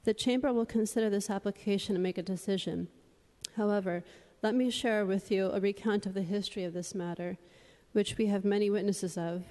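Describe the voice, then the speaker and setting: A woman gives a prepared formal address as a public commenter. Her voice is mellow and mid-pitched, her manner earnest, and the big chamber adds a long reverberant tail. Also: steady tone, a little fast. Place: large echoing public meeting chamber. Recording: long echoing hall reverb.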